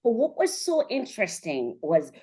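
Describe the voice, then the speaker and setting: A woman's voice: medium pitch, big pitch swings, normal speed, dark tone, husky. A woman from Zimbabwe with a Zimbabwean accent, hosting a podcast in a chatty, effusive way, often addressing listeners directly. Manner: effusive, addresses listeners directly, checks with "right" and "you know". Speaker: podcast host.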